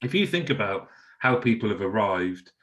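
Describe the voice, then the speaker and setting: A man's voice: lowish voice, very animated, normal speed, fairly bright tone. A man hosting a podcast, friendly and conversational, asking long, rambling questions. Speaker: podcast host. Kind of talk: podcast interview chat.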